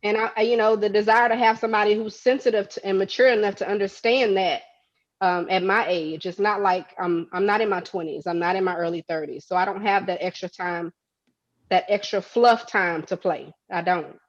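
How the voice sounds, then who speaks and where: A woman in her forties, an interview guest talking candidly in an earnest, reflective way, sometimes starting with halting restarts. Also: mid-range voice, highs cut off. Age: 40s. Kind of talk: candid conversation.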